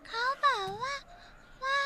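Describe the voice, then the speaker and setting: A woman's voice: takes it slowly, background noise, natural voice. A woman voicing a timid young male duckling in a cartoon, meek and whiny.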